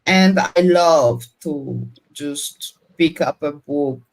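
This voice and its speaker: A middle-aged woman with a low voice and an unhurried pace. Her accent mixes Colombian Spanish and Israeli Hebrew, as a native Spanish speaker from Colombia. She talks casually, like a guest sharing a personal story. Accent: mixed Colombian Spanish and Israeli Hebrew. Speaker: panel guest.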